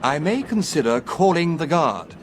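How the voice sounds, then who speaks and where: An actor playing a measured, sceptical professor, formal and precise, in a radio drama. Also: male voice, on the high side, big pitch swings. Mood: considered. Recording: clear train noise behind.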